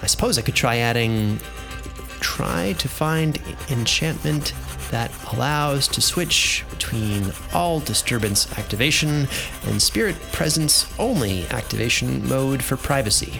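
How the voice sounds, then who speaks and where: A man's voice: mid-range voice, lively intonation, measured pace, creaky, music in the background, poor audio. A man playing a pensive fantasy researcher who mutters hesitant notes to himself in an audio drama. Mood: musing, resigned.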